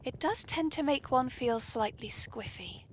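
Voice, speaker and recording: A British woman with a breathy voice, hosting a whimsical podcast in a genteel, playfully polite manner. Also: high voice, expressive, a little slow, in a whisper. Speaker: podcast host.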